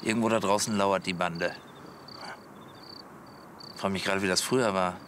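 An actor playing a bossy, melodramatic, self-important camper on night watch in a German comedy audio play. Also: male voice, low voice, fairly even intonation, moderate pace, fairly bright tone. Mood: brooding, solemn. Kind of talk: German radio comedy acting. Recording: noisy outdoor night ambience with crickets.

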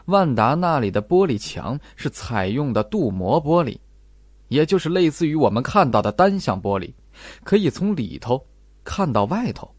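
A man acts out a calm detective in an audiobook mystery, laying out deductions step by step. The questioning is measured and pointed, with lively pitch movement in a dry, close recording. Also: no echo. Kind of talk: audiobook character acting.

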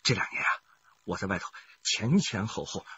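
A man's voice: fairly low pitch, expressive, moderate pace, bright, forceful tone, husky, highs cut off, heavily acted. An actor playing a middle-aged, money-hungry husband in a radio crime drama, who repeats his words and swings between coaxing and bullying. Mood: pushy, boastful.

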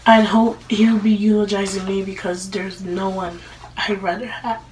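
A woman playing a grieving, lovestruck teenage girl in a halting, emotional dramatic eulogy monologue. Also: mid-range voice, flat delivery, a little mellow, lightly acted. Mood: sorrowful, tender. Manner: halting, emotional delivery with pauses. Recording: distant mic with faint room noise.

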